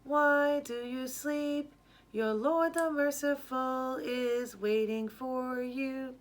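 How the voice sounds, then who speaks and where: A woman voicing a devout Ramadan night drummer, chanting wake-up calls in a drawn-out sing-song from a storybook. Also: high-pitched, steady tone, slight background noise, pitched above the performer's own voice. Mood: devout, gently urging.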